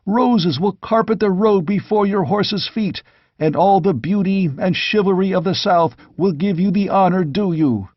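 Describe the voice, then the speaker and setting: Guy doing an excited loyal knight from a fantasy audiobook, pitched way up, exclaiming, kinda muffled dry recording. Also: a little slow, dry recording. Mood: eager devotion. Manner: exclamatory, fervent. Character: excited young knights hailing their lost king, loud, fervent.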